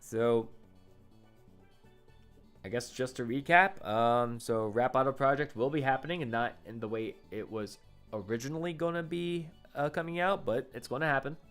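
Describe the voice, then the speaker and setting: A man's voice: mid-range voice, normal speed, a bit bright, soft background music. A man in his late twenties chatting casually into a condenser microphone for his vlog, rambling and correcting himself with lots of fillers. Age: 20s. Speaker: YouTuber. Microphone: condenser studio microphone.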